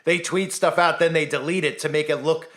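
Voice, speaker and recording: A man's voice: a little high, fairly even intonation, fairly quick, a bit bright. A man talking through a conspiracy commentary monologue, insistent and rhetorical, now and then acting out imagined voices. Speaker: commentary channel host.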